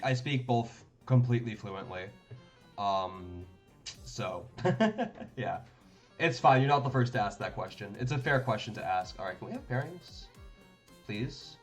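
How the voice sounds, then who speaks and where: A young man streaming a card game, chatting casually as he thinks aloud. His voice sits at an ordinary middle pitch, and his sentences wander with fillers and restarts. Faint music plays behind him. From Denmark. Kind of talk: casual live-stream commentary.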